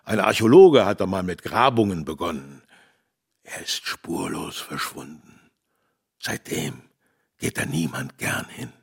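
An actor playing a brooding male villager who tells eerie local lore in a measured, ominous way for a children's radio drama. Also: low voice, creaky, slightly rough, heavily acted. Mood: hushed, ominous.

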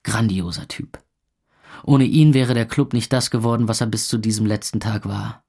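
A man's voice: on the low side, steady tone, normal speed, gravelly, dark tone, husky. A man playing a wry, gloomy young club owner who narrates a radio drama in the first person, dry and laconic. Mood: wry, wistful.

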